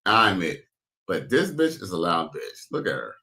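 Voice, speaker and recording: A man with a very deep baritone gives loud, crude, slang-heavy reaction commentary. His voice is low yet bright and forceful. He hosts the talk in a casual way. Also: very animated, moderate pace, a little hiss or hum. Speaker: commentary host.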